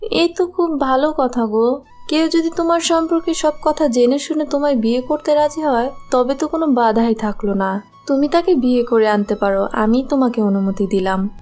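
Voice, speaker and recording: A woman voicing a gentle, ailing wife and protective mother in a fairy-tale cartoon, speaking earnestly. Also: high-pitched, moderate pace, soft background music, natural voice.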